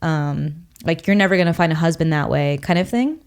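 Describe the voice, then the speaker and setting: A woman's voice: sits low, brisk, clear, resonant tone. A young woman co-hosting a podcast, reflecting in casual chat on ideas from her reading. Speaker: podcast co-host.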